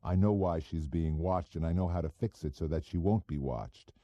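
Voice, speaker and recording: Man playing a deep, deadpan tough detective. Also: moderate pace, no echo, highs cut off. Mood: quiet challenge. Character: terse, tough, guarded hardboiled male detective.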